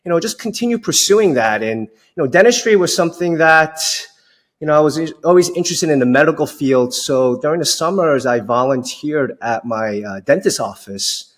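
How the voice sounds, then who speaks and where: A man's voice: on the high side, lively intonation, moderate pace. Casual middle-aged man telling his story. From Long Island, New York. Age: 40s. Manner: informal, says "you know" very often. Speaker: interview guest, dentist.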